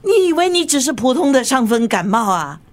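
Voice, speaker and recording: A woman's voice: sits high, very animated, fairly quick. An actress playing a bossy, protective middle-aged mother in a radio drama. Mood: nagging, fussing. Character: feisty, bossy, protective mother of a grown daughter. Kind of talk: Chinese radio drama acting.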